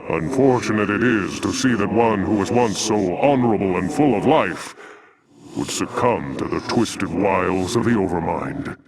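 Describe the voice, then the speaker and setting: Man doing deep noble alien knight from a game, calm, old-timey formal talk, busy sound behind. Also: a crowd in the background, natural voice, low-quality recording. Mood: solemn regret. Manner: formal, archaic, measured. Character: noble, calm male alien templar warrior, taunting. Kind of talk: dramatic video game voice acting.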